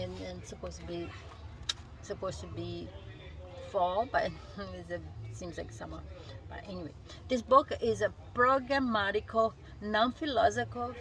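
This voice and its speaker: A woman with a Brazilian Portuguese accent, a native Portuguese speaker, reads a book aloud outdoors while sitting on a rock. She reads haltingly, stumbles and restarts on words, and adds casual asides. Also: medium pitch, a bit bright, poor audio. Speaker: vlogger reading a self-help book.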